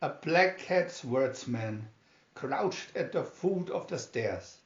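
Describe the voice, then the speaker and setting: A man reading a story aloud as a narrator, with deliberate, dramatic delivery. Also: mid-range voice, expressive. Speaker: storyteller reading a picture booklet. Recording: dull lo-fi, echoey room.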